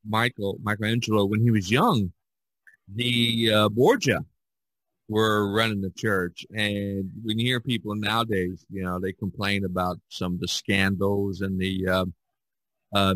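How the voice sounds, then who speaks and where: A man's voice: lowish voice, a bit bright, dry recording, poor audio. A man hosting a casual podcast discussion, rambling and often searching for his words. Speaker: podcast host. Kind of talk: casual discussion of art history.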